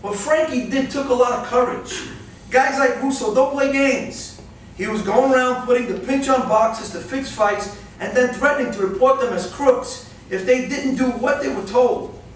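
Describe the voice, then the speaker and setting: A very high male voice carries across a heavily echoing room. It is an official, authoritative police agent in a stage drama, read by a male actor, some way from the microphone. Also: moderate pace. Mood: calm, official. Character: commanding, official state police special agent. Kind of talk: staged play reading. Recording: very echoey room, distant mic, faint noise.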